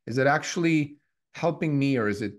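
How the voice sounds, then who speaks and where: Man, 50s, from Switzerland, podcast co-host, thoughtful, halting, self-correcting, mid-pitched voice. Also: expressive. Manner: reflective, restarts often, lots of fillers. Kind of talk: casual conversational podcast.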